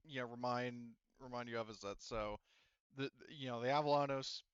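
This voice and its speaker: A man with a clear, smooth voice at an ordinary pitch works as a game master. He explains the state of a tabletop game to players, repeating and rewording as he goes. The recording is dry and close. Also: steady tone, brisk, no echo, lo-fi sound.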